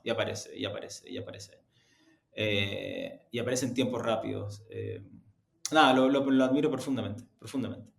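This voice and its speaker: Man, gravelly fry, medium pitch, reflective interviewee, halting emphatic phrasing. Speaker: executive interview guest. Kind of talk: conversational interview answers. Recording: roomy, echoing sound.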